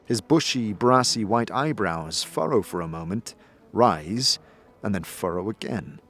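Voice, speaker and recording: Guy narrating a fantasy audio drama, very expressive storyteller, bit of music behind. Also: on the low side, unhurried. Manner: dramatic, storytelling in second person. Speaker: narrator, game master.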